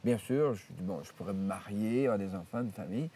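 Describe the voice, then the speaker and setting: A man, a priest telling stories from his life in an interview, rambling with many hesitations and restarts and lively retelling of what others said. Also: fairly low pitch, fast, breathy edge, far from the mic. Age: 70s. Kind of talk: storytelling interview. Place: outdoors in nature.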